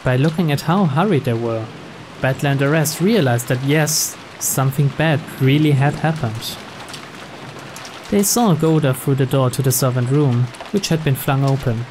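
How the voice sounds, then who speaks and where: A man's voice: mid-range voice, moderate pace, background noise. A man reading scripted story narration from a game.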